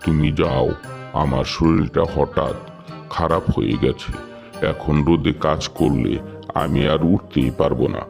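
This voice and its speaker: A man's voice: extremely low pitch, fairly even intonation, measured pace, vocal fry, over music, full character voice. A man voicing a lazy, greedy male crow in a cartoon fable, sly and bossy. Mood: feigned excuses.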